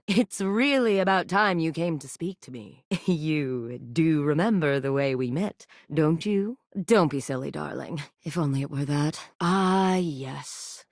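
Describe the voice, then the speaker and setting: A brazen, flirtatious living sex toy from a dating game, played by a woman, purring each line in a low, unhurried drawl. The voice rolls up and down with lively swoops and sits close and dry, with no room around it. It teases and seduces with total self-assurance. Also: dry recording. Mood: teasing. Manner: sultry, teasing, suggestive drawl. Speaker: voice actor. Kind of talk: video game character voice lines. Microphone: close studio mic.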